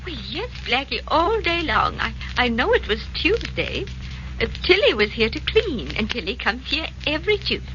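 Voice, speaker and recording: A woman's voice: high voice, sing-song, moderate pace, bright, forceful tone, lo-fi sound, slight background noise, heavily acted. A woman playing a confused, insistent old aunt in a 1940s radio drama, hesitant and repeating herself, on an old recording. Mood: flustered, insistent. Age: older.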